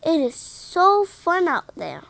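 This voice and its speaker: A woman's voice: very high voice, huge pitch swings, slow pace, vocal fry, a bit bright, no room sound, exaggerated voice. A woman voicing a bold, encouraging big-brother surfer cat in a children's storybook, upbeat and calling out. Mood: enthusiastic.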